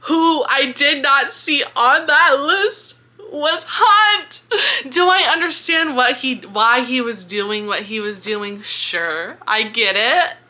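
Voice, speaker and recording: A woman chatting casually about a book, expressive, with plenty of slang and swearing. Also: high voice, slow. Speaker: book reviewer and vlogger.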